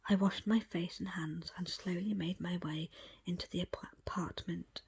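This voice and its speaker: A woman reading a book aloud as ASMR, in a breathy, unvoiced whisper with a slow, hushed storytelling delivery. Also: fairly low pitch, steady tone. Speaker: audiobook reader.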